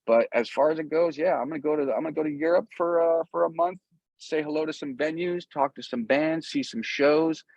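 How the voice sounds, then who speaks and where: A man's voice: on the high side, brisk, vocal fry, darkish tone, no echo. Guy musician doing a casual interview, rambly and earnest, slangy, kinda muffled dry audio.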